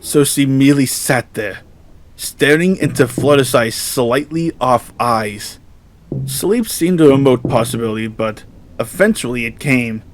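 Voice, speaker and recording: A man reading scripted horror fiction as narrator in a measured, solemn storytelling manner. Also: mid-range voice, lively intonation, slight background noise.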